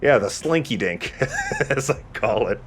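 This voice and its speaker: A man's voice: medium pitch, huge pitch swings, unhurried, slight background noise. A man giving casual, joking, slangy commentary as a let's play co-host. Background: video game ambience.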